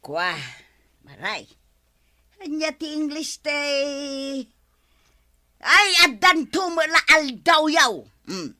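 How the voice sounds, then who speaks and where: A woman playing a quarrelsome, hot-tempered village woman with an Ilocano accent in a broadcast comedy drama, given to scolding and drawn-out exclamations. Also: high voice, big pitch swings, pushed and bright, exaggerated voice. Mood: sulky, subdued.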